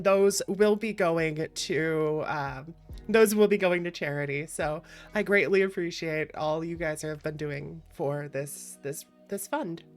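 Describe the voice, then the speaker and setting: A woman livestreamer chatting casually, giggly and expressive, with playful exasperation. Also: on the low side. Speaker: livestreamer, VTuber host.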